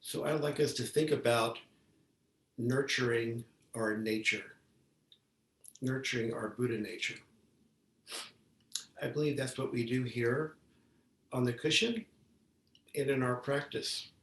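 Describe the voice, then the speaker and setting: A man giving a measured Zen dharma talk as a teacher, speaking haltingly and deliberately with long pauses. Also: medium pitch, a bit bright. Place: indoor room, talk given over video call. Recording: roomy, echoey, distant microphone on video call.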